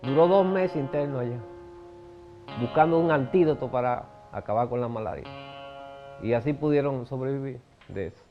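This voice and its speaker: An older man with a gravelly voice, recalling memories in a documentary interview. He speaks in a halting way. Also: medium pitch, soft background music. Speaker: interviewee, son of a refugee. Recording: dull lo-fi recording.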